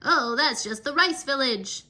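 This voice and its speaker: A woman's voice: high-pitched, lively intonation, a little slow, pushed and bright, voice pushed up, heavily acted. A woman voicing joyful, welcoming plantains in a children's story, with a cheerful and eager manner. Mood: pleading.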